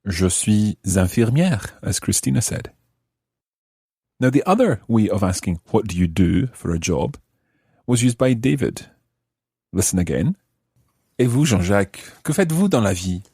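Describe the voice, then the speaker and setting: A man presents a language lesson in a low, slightly husky voice. His intonation moves a lot as he explains things carefully, and the recording is dry and close. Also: very animated, unhurried, long pauses, mellow, no echo. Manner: explanatory, carefully enunciated teaching. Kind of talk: scripted lesson narration.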